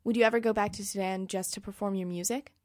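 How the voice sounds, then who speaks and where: A woman interviewer asking polite, clear questions in a radio interview. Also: medium pitch, steady tone, fast, darkish tone.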